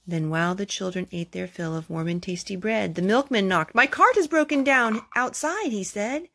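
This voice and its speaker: A woman hosting a children's storytime, reading aloud in an expressive storytelling manner. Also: medium pitch, normal speed.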